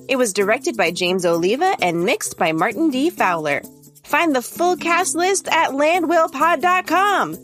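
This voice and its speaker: A woman reads comic credits as an announcer. Her voice is very high and animated, with a playful swing. Faint music runs behind her. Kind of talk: scripted comic credits read.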